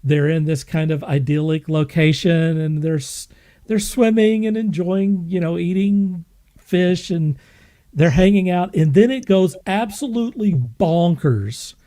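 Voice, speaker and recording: A man hosting a podcast, reviewing things in an enthusiastic, casual way, emphatic and effusive with halting repetition. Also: high-pitched, warm tone. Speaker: podcast host.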